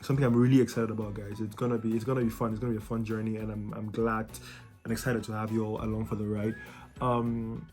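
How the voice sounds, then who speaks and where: A man's voice: fairly low pitch, level tone, quick pace, darkish tone, soft background music. A young man from Cameroon who talks casually to his viewers about coaching students, friendly, with many ums and repeated words. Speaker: YouTuber and student life coach.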